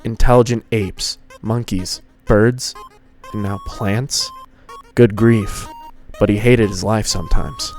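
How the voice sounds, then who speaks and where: A man's voice: on the low side, fairly even intonation, takes it slowly, a little mellow. A man reading scripted fan fiction as the narrator of a dramatized story. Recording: music behind the voice.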